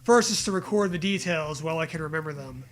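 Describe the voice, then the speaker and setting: A man's voice: on the high side, lively intonation, quick pace, fairly bright tone, faint music behind. A man reading a story aloud in a comedic way, with mocking, profane casual riffing.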